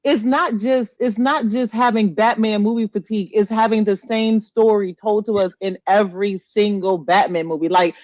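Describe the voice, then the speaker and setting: A woman's mid-pitched voice comes through dry and a little dull, as if on lo-fi audio. She co-hosts a podcast casually, giving opinions emphatically and repeating phrases to press her point. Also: steady tone, moderate pace, hardly any pauses, a little mellow, no echo. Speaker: podcast co-host.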